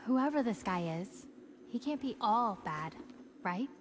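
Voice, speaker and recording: An actress playing a calm, conciliatory woman surviving in a video game drama. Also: a little high, unhurried, slight background noise. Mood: hopeful.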